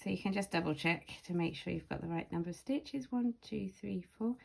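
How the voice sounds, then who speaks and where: A woman with a slightly croaky voice giving casual, step-by-step craft instruction as a tutorial host, speaking carefully and clearly into a built-in microphone. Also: fairly low pitch, a little fast, a little mellow. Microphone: camera or phone's built-in microphone, no external mic.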